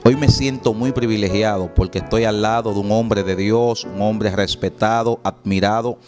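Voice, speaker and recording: Un hombre dominicano de unos treinta años conduce una entrevista en vivo con acento dominicano, entusiasta y lleno de exclamaciones. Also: male voice, fairly low pitch, fairly even intonation, darkish tone, reverberant, faint music behind. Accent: Dominican Spanish. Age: 30s. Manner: enthusiastic, exclaiming, quick interjections. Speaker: host. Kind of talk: live interview.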